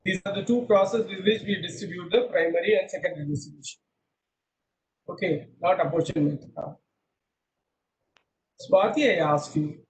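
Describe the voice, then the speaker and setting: A man's voice: sits high, normal speed, pauses often, poor audio. A middle-aged man teaching an online class over a video call, quizzing students and repeating himself, mixing English with Hindi. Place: small room indoors. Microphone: laptop webcam on a video call.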